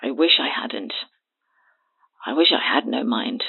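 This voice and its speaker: A woman in her twenties playing a haunted, terrified witness in an audio horror drama, speaking haltingly and hushed through a telephone filter. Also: rumbling low, expressive, full character voice. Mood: despairing. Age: 20s. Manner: halting, hushed, breathy delivery. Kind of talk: audio horror drama acting, phone confession. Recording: thin phone-line sound.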